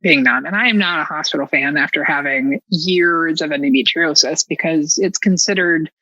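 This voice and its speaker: A woman telling a personal story as a podcast guest in an animated, casual, self-mockingly dramatic way. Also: low voice, normal speed, dry recording, highs cut off.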